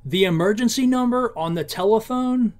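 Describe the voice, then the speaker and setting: A man's voice: high-pitched, moderate pace, darkish tone, pitched above the performer's own voice, close to an everyday voice. A man voicing a stern, pressing police officer who questions in disbelief, in a storybook reading. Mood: incredulous. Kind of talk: audiobook-style character reading.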